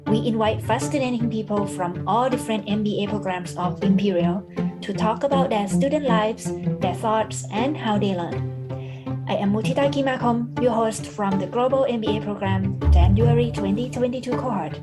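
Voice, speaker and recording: A young woman hosting a student podcast, with a polite, measured way of asking questions. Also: medium pitch, over loud music. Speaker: podcast host. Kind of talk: scripted intro then interview questions.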